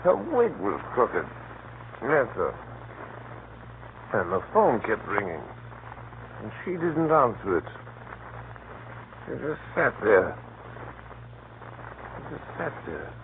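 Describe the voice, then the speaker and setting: An actor playing a nosy, excitable male neighbour in 1930s radio style, rambling and repeating himself conspiratorially. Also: medium pitch, takes it slowly, long pauses, exaggerated voice. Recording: scratchy narrow-band 1930s radio recording.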